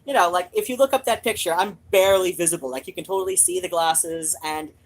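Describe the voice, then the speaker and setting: Casting: woman with a low voice for loose, animated, off-the-cuff host chat, sweary and wandering. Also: fast talker. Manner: animated, digressive, swears freely. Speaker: host of a reading and chat show. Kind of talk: rambling casual personal chat.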